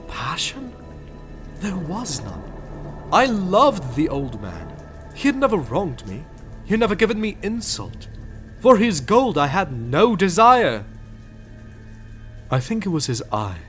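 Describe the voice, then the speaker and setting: Male reader, high-ish voice, very animated pitch, theatrical gothic delivery that swings from loud outbursts to whispers, clear music bed behind. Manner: intense, feverish first-person delivery. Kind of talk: dramatic reading of a gothic horror story.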